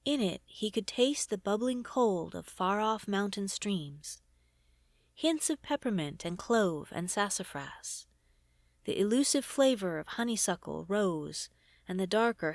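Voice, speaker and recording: A woman's voice: mid-range voice, lively intonation, unhurried, no room sound. Woman reading fantasy fiction, dry close sound. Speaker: audiobook reader. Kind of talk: scripted narration of fantasy fiction.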